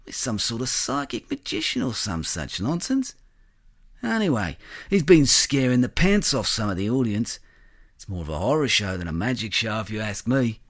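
Man playing a lively, chatty local bloke. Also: mid-range voice, moderate pace. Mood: chatty, bemused. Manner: colloquial, chatty. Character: friendly, chatty, sceptical working-class local bloke. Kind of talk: radio drama acting.

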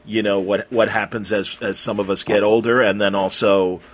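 A man hosting a casual conversational interview, feeling his way through questions with halting, circling phrasing. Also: on the low side, flat delivery. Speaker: interviewer, host.

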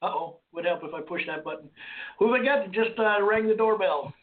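Hoarse man hosting over a tinny line. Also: high-pitched, brisk. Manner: rambling, with many restarts and fillers. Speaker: host. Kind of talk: casual call-in radio talk. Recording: phone-like lo-fi line.

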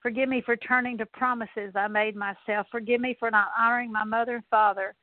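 A woman's voice: on the high side, fairly even intonation, fairly quick, no echo. A woman leading a spoken prayer of repentance, earnestly repeating one confession after another. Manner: earnest, repetitive litany of confessions. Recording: tinny phone-like line, dry.

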